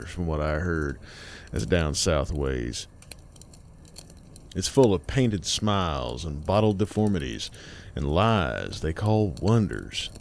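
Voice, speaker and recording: A man narrating a scary story in a folksy, colloquial way, theatrical and full of dramatic pauses. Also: sits low, a little mellow, slight background noise.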